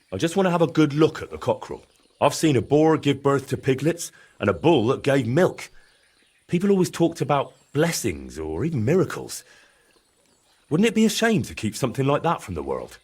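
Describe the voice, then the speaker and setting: A man's voice: medium pitch, big pitch swings, normal speed, darkish tone, breathy edge. An actor playing a cheeky, curious young man in a medieval game, talking in a casual, persuasive way. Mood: curious, coaxing. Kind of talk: dramatic game dialogue acting.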